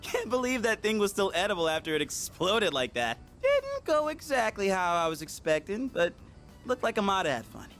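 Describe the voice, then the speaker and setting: Laid back american teen boy for a game, slangy, kinda high voice, very up and down, music under it. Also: moderate pace, heavily acted. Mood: exasperated. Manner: slangy, casual, animated. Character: laid-back goofy teenage boy, self-conscious slacker buddy. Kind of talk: video game voice acting. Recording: music behind the voice.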